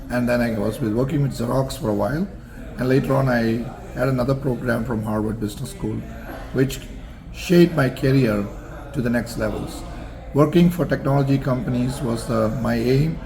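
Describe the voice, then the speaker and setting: A man's voice: mid-range voice, vocal fry, rough recording. Older man recalling his college days. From Singapore. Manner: measured, formal, many fillers and restarts. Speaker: interview guest, alumnus. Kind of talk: reminiscing interview answers.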